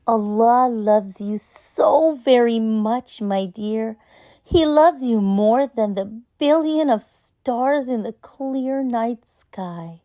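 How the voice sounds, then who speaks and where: A woman voicing a gentle, loving, reassuring mother in a children's storybook, speaking tenderly. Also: on the high side. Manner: tender, measured.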